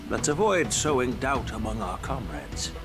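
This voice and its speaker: An actor playing a calm, grave male general and former mentor in a video game, measured and cautioning. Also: medium pitch, close to an everyday voice. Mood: calm warning. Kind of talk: game voice acting. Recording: loud game music behind.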